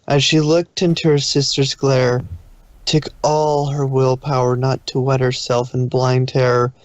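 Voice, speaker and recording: A man's voice: medium pitch, level tone, unhurried, no room sound. A man reading scripted fan fiction as the narrator of a dramatic story.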